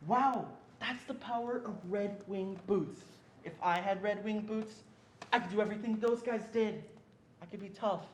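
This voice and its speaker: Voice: medium pitch, moderate pace, lightly acted. A young performer playing an earnest narrator who recalls a frightened childhood, in scripted stage narration. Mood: awed. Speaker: student reader in a reader's theatre performance. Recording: roomy echo with faint background noise.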